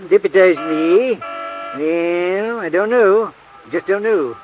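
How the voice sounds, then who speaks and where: An actor playing a cantankerous, folksy cowboy drive-in manager with an American Western drawl in an old radio drama. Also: male voice, high voice, slow. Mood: matter-of-fact. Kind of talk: 1950s radio comic character acting. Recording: muffled, noisy old radio recording.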